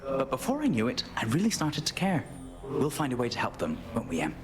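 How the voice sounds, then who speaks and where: Casual god, confiding, quick, swinging pitch, loud music. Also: male voice, wildly swooping pitch.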